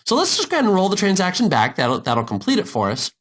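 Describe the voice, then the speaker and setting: A man narrating a software tutorial step by step, in an informal, explanatory manner. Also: high-pitched, wildly swooping pitch, fast. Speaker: instructor.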